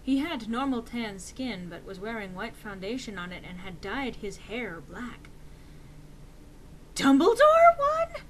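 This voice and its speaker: A woman's voice: medium pitch, moderate pace, hardly any pauses, a little hiss or hum, poor audio. A woman reading fan fiction aloud as a dramatic, mock-serious narration with theatrical emphasis.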